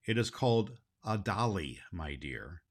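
A man voicing a kindly, jolly toymaker in a storybook, speaking gently and affectionately. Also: low-pitched, sing-song, unhurried, voice pushed down, exaggerated voice. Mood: gentle.

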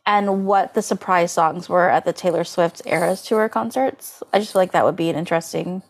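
A woman's voice: fairly low pitch, brisk, gravelly. A woman co-hosting a podcast in casual conversation, peppering her talk with "like". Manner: conversational, heavy use of "like". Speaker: podcast co-host. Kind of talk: casual astrology podcast chat.

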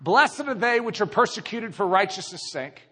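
A man preaches in a high, husky voice that swings widely in pitch. His delivery is conversational yet emphatic and dramatic, full of rhetorical questions. The sound carries the long reverb of a large hall. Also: lively intonation, moderate pace, bright, forceful tone. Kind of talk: conversational evangelical sermon.

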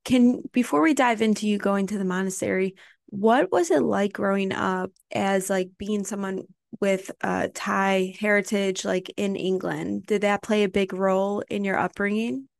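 A woman hosting an interview puts quick, curious questions to her guest. Her voice has a gravelly edge, and the recording is dry and close. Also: mid-range voice, fairly even intonation, dry recording. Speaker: podcast host.

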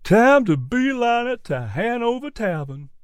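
A sassy storybook mare, performed by a man, high in pitch and heavy on sarcasm. The words come out in a slow, knowing drawl. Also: big pitch swings, smooth, no room sound, clean studio sound, full character voice. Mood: wry, sarcastic. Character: sassy, sarcastic female horse.

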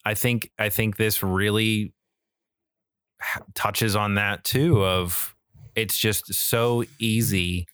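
A man's voice: fairly low pitch, steady tone, slow, a bit bright, smooth, dry recording, studio quality. A man co-hosting a podcast, chatting casually and emphatically in slangy, mildly profane language. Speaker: podcast co-host. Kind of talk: casual chat about video games and media.

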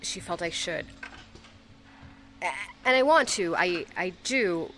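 Grieving young ex-girlfriend, bright forward voice, stammering, confessional, audio drama monologue, faint background noise. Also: fairly low pitch, animated, takes it slowly. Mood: hesitant, awkward. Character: grieving, flustered young ex-girlfriend speaking at a memorial.

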